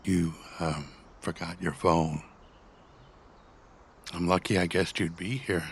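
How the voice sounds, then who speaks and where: An actor playing a caring, fussing partner and stepdad in an animated comic drama, with a soft, affectionate manner. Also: male voice, low-pitched, takes it slowly, creaky, slight background noise, exaggerated voice. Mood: tentative, gentle. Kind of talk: animated motion-comic voice acting.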